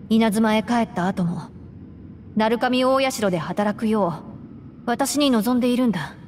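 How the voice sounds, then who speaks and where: An actress playing a composed young woman deputy who speaks in a formal, deadpan way. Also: on the high side, measured pace, slight background noise, natural voice. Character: calm, dry young woman gang deputy. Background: game music.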